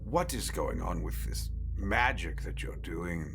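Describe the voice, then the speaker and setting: Tabletop game role: man voicing evasive English vampire gentleman, English accent, wry and unhurried, swooping melody, background music. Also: low-pitched, lively intonation, vocal fry, pitched below the performer's own voice, exaggerated voice. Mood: dry, teasing. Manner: dry, teasing, unhurried.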